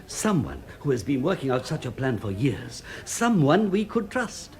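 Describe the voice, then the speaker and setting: Man acting a courteous Egyptian police inspector, animated pitch. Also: normal speed, lightly acted. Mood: urgent, knowing. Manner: formal, precise, slightly foreign phrasing. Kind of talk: radio drama acting.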